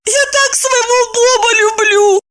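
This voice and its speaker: Shrill, exalted, indignant young woman. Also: expressive, normal speed, sharp tone, dry recording, full character voice. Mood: tearful. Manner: shrill, exclamatory, interrogating. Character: exalted, indignant, melodramatic young woman, scolding her friend.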